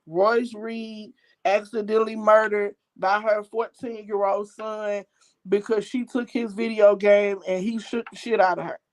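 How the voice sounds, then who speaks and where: An older woman calling in to a show, talking bluntly and excitedly in casual slang. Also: mid-range voice, unhurried. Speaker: caller. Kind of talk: casual phone-in chat.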